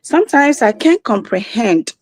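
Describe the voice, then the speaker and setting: A Ghanaian woman calling in to a discussion, speaking in a halting, aggrieved way. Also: on the high side, sing-song, no room sound. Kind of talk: casual discussion.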